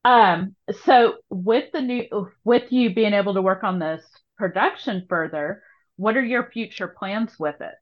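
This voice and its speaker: A woman's voice: medium pitch, unhurried, muffled recording. A woman hosting a casual conversational interview, thinking aloud in a wordy, hesitant way. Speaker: podcast host.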